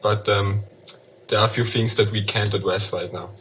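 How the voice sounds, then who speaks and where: A low-voiced man who answers hesitantly, using plenty of fillers and staying vague. There is a faint hiss of noise behind his voice. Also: steady tone, normal speed, fairly bright tone. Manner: hesitant, evasive, many fillers. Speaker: NFT project founder. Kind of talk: casual interview answers.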